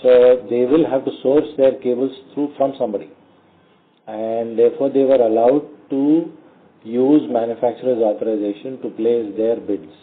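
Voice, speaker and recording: Male, Indian accent, company executive on a call. Measured, halting delivery, thin telephone sound. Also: medium pitch, steady tone. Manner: halting, measured, hesitant phrasing with frequent pauses. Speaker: company executive answering analyst questions. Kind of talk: earnings call question and answer. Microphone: conference call phone line. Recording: tinny narrowband phone line.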